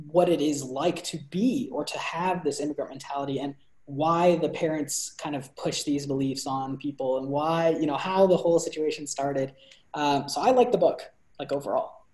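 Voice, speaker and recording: A young man co-hosting a book review over a video call, talking casually with many fillers and restarts. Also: fairly high pitch. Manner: animated hand gestures. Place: bright room at home. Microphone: video call laptop. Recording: roomy echo.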